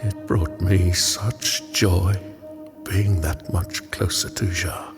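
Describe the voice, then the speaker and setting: Devout, solemn plotter, played by a male actor: lowish, unhurried, theatrical audio-drama monologue under prominent music. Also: fairly even intonation. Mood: reverent, wistful. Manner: theatrical, grave, deliberate monologue. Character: solemn, devout, brooding schemer in a prayer temple.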